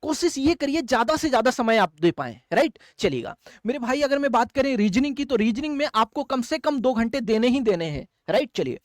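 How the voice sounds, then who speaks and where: Exam-prep instructor, medium-pitched and closely recorded without echo, lecturing students directly with frequent checks for understanding. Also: animated, brisk, few pauses, studio quality. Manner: addresses listeners as friends and brothers, checks understanding often.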